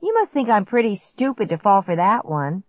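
A woman voicing a young boy, a smug and excitable stepbrother in a storybook, exclaiming and taunting. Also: medium pitch, normal speed, no echo, narrow, tinny audio, voice pushed up. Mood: scornful. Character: smug, skeptical boy stepbrother who turns terrified.

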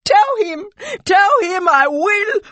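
A woman's voice: very high-pitched, very animated, takes it slowly, a bit bright, no room sound, voice pushed up, full character voice. A woman voicing a distraught, vengeful woman in an audiobook, urgent and wild with grief and fury. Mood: wailing rage. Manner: urgent, wailing, snarling, swinging from whispers to cries. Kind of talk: audiobook character acting.

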